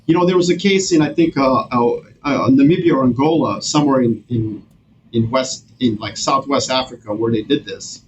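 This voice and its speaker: A man from Serbia, a guest on a podcast, talking in an animated, slangy way with many restarts. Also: mid-range voice, normal speed, dull audio, a little hiss or hum. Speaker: guest strategist. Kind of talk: casual podcast conversation.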